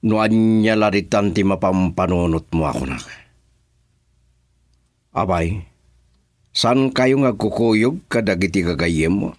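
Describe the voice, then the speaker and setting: An actor playing a domineering crime boss in a radio drama, with an Ilocano accent. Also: male voice, sits low, measured pace, pauses often, no echo, clean studio sound. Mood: dismissive. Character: domineering male crime boss giving orders to henchmen.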